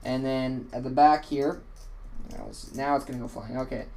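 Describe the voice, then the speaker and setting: A man's voice: mid-range voice, steady tone, moderate pace, vocal fry, darkish tone. A man hosting a casual how-to walkthrough, talking in a rambling, offhand way and correcting himself often, his voice tired and a little worn. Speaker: tutorial host.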